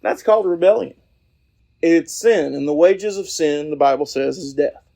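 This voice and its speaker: A man hosting a podcast in an informal preaching style, talking in an earnest, folksy, halting way outdoors. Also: on the high side, vocal fry, mellow. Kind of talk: informal evangelistic talk.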